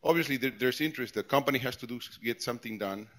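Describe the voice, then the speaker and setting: A man's voice: medium pitch, quick pace, fairly bright tone. A man in his forties from Peru, speaking as a guest speaker, giving a conversational talk with frequent restarts and repeated words. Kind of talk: informal tech talk to employees.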